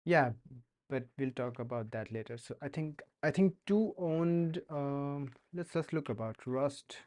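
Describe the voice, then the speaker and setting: A man's voice: medium pitch, expressive, a little slow, a little mellow. A young man with an Indian accent chatting casually while coding on a live stream, hesitant with many fillers and restarts. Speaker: streamer learning to code.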